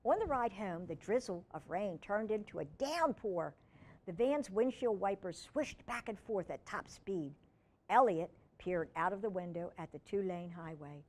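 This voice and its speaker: A woman reads a storybook aloud for children at a mid-range pitch. She narrates as a storytime reader and drops in informal asides. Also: expressive, measured pace, darkish tone.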